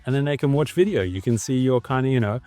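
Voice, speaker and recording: A man's voice: medium pitch, fast talker, warm tone, soft background music. A man with an Australian accent, a guest on a podcast, explaining fluently in a casual conversation over a video call.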